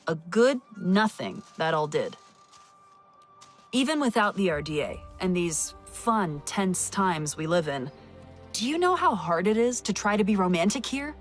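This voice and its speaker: An actress playing an awkward, lovesick, self-mocking woman in a video game, talking in a casual, sardonic, flustered way. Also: fairly low pitch. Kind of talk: video game character acting.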